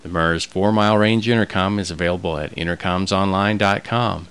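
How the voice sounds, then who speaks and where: Deep, smooth-voiced male narrator. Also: fairly even intonation, a little fast, fairly bright tone. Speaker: product video narrator. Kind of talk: scripted promotional narration.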